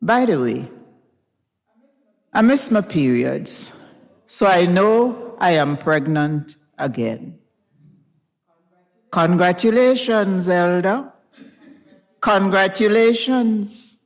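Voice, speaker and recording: A proud, wounded young wife, acted out by a woman reader. She speaks slowly, with pointed emphasis, in a story reading that rings with the reverb of a hall. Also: fairly low pitch, lively intonation. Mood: bitter.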